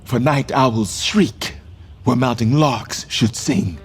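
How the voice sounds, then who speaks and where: A slow, brooding, grieving king. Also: medium pitch, music in the background. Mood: bitter scorn. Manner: theatrical, deliberate, brooding verse delivery. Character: grieving, bitterly ironic king facing deposition. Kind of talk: Shakespearean radio drama acting.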